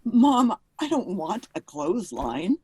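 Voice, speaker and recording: A woman's voice: medium pitch, big pitch swings, slow, a little mellow, slightly rough, dry recording, exaggerated voice. A woman acting in a radio play as an exasperated, blunt wife and mother with a curt, pointed manner. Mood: dry, defensive.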